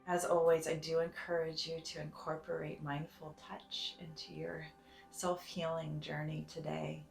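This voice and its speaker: A woman leading a guided meditation in a soft, soothing, deliberate way, sometimes breathy, with long pauses. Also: deep voice, level tone, reverberant. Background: soft music.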